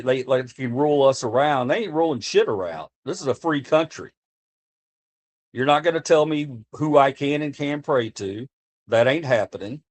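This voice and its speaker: American guy, live streamer, mid-pitched voice, earnest and fired up, repeats himself, desk mic. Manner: earnest, impassioned, repeats himself while speaking off the cuff. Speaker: livestreamer talking to chat. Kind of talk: casual live-stream chat.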